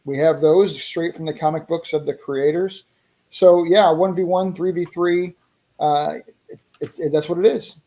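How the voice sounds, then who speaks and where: Higher-voiced, mellow man chatting as podcast guest. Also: steady tone, moderate pace. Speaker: interview guest, indie comic creator promoting a fighting game. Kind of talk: casual podcast chat.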